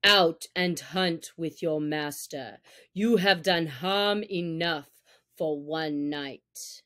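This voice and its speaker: A woman voicing a stern, irritable father wolf in a storybook, speaking in a stiff, gruff, curt way. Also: sits low, very slow, a bit bright, voice pushed down, exaggerated voice. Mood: snapping.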